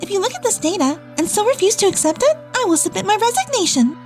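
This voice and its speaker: A woman's voice: extremely high pitch, sing-song, quick pace, faint music behind. A woman playing an earnest, determined young businesswoman in a manga dub, speaking with insistent emphasis. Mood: pleading resolve. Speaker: dub voice actor.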